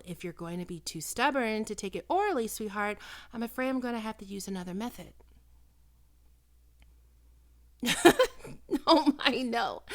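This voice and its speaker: A woman acting in an ASMR roleplay as a doting, possessive vampire posing as a small-town doctor, coaxing and teasing, often dropping into breathy whispers. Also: medium pitch, fairly bright tone, heavily acted. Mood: amused. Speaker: doctor character in audio roleplay.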